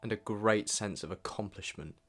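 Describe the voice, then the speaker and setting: A man's voice: on the low side, moderate pace. A young British man narrating a scripted year-in-review vlog in a reflective, earnest way. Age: young adult. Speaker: video creator and student filmmaker. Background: background music with guitar.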